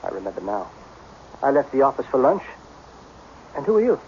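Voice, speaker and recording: A man's voice: medium pitch, very animated, a little mellow, lo-fi sound, lightly acted. Man acting intense businessman on noisy old radio. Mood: confused, agitated. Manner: agitated, halting, intense. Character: frantic, practical businessman, magically made younger.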